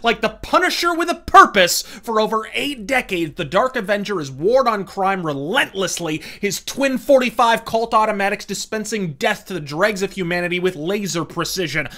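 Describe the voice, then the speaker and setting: A man's voice: high-pitched, bright, forceful tone. A man hosting a scripted video review in a verbose, theatrical and sometimes profane manner. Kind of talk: scripted, florid review narration.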